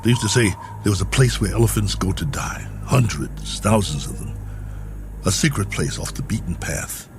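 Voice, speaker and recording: Music plays clearly behind a low male voice as a stranded space colonel. He is lonely and reflective, musing with wry, world-weary resignation in the manner of a radio drama monologue. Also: animated, moderate pace.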